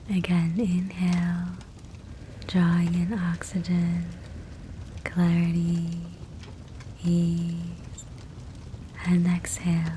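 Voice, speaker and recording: A woman guiding a sleep meditation in a soft, breathy whisper, gentle and soothing, with measured phrasing and long pauses. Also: on the low side. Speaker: sleep hypnosis meditation guide. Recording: steady fireplace crackle behind voice. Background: fireplace sounds.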